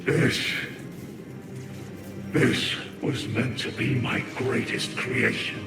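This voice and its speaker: An actor playing a cold, vengeful video game supervillain with a grandiose, menacing and theatrical delivery. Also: male voice, medium pitch, level tone, very slow. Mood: bitter, defiant. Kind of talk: dramatic video game cutscene acting. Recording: roomy echo, distant, under loud music.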